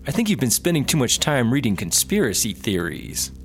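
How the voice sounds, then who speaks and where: A man's voice: mid-range voice, big pitch swings, a little fast, faint music behind. A man acting an easygoing, food-loving, cheerfully oblivious buddy in a sci-fi comedy audio drama. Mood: puzzled. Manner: casual, unbothered, cheerfully oblivious. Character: laid-back, easygoing, food-loving male squad car buddy.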